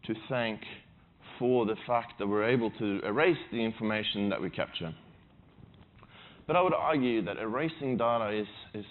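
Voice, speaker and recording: A man's voice: on the low side, phone-quality sound. A man from Sweden with a Swedish accent, giving a conversational, self-deprecating tech conference talk on stage. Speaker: conference speaker.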